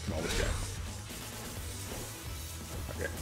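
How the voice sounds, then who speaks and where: A man hosting a let's play in casual banter, repeating his phrases and getting playfully defensive. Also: unhurried. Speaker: let's play host, playing the game. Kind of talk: casual gaming commentary, banter with co-host. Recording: music behind the voice. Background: video game music.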